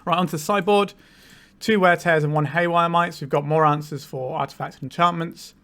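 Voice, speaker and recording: Slightly high-voiced man with a cold. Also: fairly quick. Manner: relaxed, explanatory, thinking aloud. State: has a cold, a bit tired. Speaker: channel host, card game player. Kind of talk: casual gameplay commentary.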